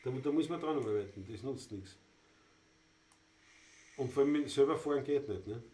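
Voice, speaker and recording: A man's voice: medium pitch, normal speed, dark tone. A man with a Viennese accent, a Wienerlied musician hosting a livestream, telling rambling stories with stammered restarts. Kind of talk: casual banter and singing. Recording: roomy sound with guitar.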